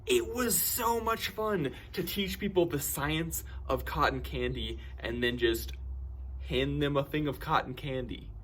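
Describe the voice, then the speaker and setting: A man telling personal stories in an animated, theatrical way, acting out bits of dialogue with excitement. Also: fairly high pitch, measured pace, slight background noise. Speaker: camp storyteller and science educator.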